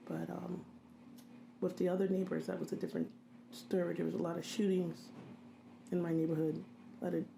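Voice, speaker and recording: A middle-aged woman in an interview, recalling her childhood in a halting way with many pauses. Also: on the low side, vocal fry, warm tone, slight background noise, low-quality recording. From grew up in a US city in a Haitian immigrant family. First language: Haitian Creole. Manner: halting, many ums and restarts. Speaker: interviewee, registered nurse. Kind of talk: reflective interview recollection.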